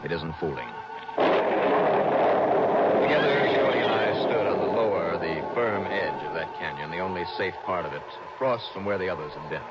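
A very deep-voiced hard-boiled investigator. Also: male voice, animated, very noisy. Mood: grim, dramatic. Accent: American. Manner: terse, brisk, hard-boiled. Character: tough, determined male insurance investigator narrating his case. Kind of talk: 1960s radio detective acting, clipped dramatic narration. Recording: dull vintage radio recording with music.

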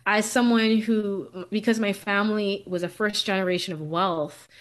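A woman's voice: medium pitch, steady tone, normal speed. Earnest, reflective woman from the Bahamas. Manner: earnest, reflective, laughs easily. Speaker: business coach. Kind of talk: podcast interview guest.

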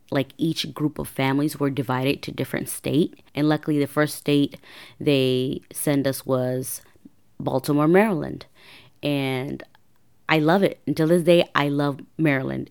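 Low raspy-voiced woman podcaster. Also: a little mellow. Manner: chatty, lots of fillers and repeats. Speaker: podcast host. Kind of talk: casual personal storytelling podcast.

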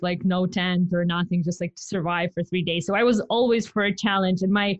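A woman's voice: medium pitch, no echo, muffled recording. Ukrainian-accented woman cohosting a podcast, bubbly, laughs a lot, dry close sound. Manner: bubbly and excitable, laughs often. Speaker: podcast co-host. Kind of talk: casual chat.

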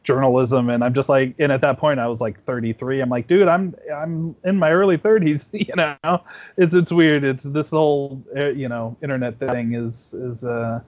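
A man in his forties chats as a podcast guest, circling his points with false starts and "you know"s. He has a middle pitch, and his audio sounds dull and lo-fi, like a remote call. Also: steady tone, normal speed, darkish tone. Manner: rambling, many restarts and fillers. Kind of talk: casual conversation. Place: home at night.

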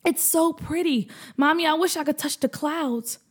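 A woman's voice: high-pitched, moderate pace, voice pushed up, natural voice. A woman voicing a young boy on his first plane ride, nervous and then amazed. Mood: excited.

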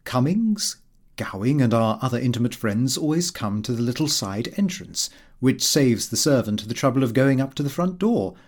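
A man's voice: mid-range voice, darkish tone. A man voicing a prim, pompous, middle-aged Victorian city clerk reading his diary. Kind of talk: audiobook narration of a comic diary.

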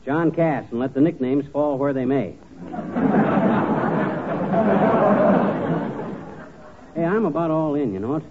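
An actor playing a folksy, blustery, wisecracking husband in a 1940s radio comedy, using slangy everyday talk. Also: male voice, a little high, expressive, slow, long pauses, a loud crowd. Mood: grumbling, joking. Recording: scratchy, tinny 1940s radio recording.

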